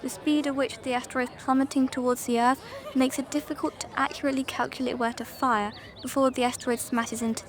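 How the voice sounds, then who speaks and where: A woman's voice: sits high, close to an everyday voice. A young woman playing a serious, cautious ambassador giving a formal interview in a drama. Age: young adult.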